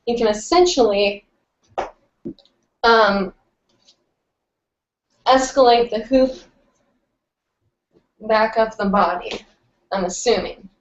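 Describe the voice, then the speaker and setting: A woman's voice: a little high, slow, long pauses, highs cut off. A young woman with a stuffy, nasal voice, chatting casually and rambling as she answers viewers' hooping questions on a live stream. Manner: chatty, rambling, with many fillers.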